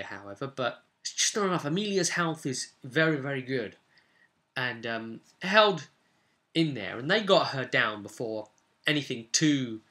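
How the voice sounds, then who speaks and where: A man hosting as game master, casually recounting a tabletop role-play session in an animated storytelling way, repeating words for emphasis. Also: mid-range voice. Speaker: game master and vidcast host.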